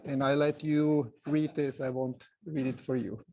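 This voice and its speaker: A soft-voiced man with a Swiss accent presenting an informal photography lecture to a group, in halting phrases with many pauses. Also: medium pitch, steady tone, vocal fry, slight background noise. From Switzerland.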